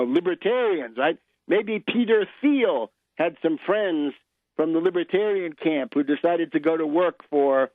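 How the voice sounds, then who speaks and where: A man's voice: fairly high pitch, no room sound. An older American man giving unscripted, polemical political commentary in a didactic way, often checking in with short rhetorical tags. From United States. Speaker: radio commentator and host. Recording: narrow phone-like line, dry.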